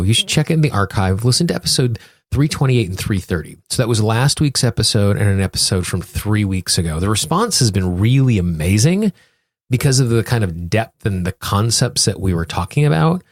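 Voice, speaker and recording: Low-voiced American man hosting a podcast. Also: no room sound, studio quality. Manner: explanatory, direct address to listeners. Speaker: podcast host. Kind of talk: solo podcast talk to listeners.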